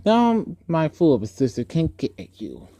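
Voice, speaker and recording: A man's voice: fairly high pitch, huge pitch swings, moderate pace, warm tone, no room sound. A man voicing a storybook villain, a sly older uncle who is cunning, wheedling and falsely genial. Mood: gloating. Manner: cunning, wheedling, self-pitying.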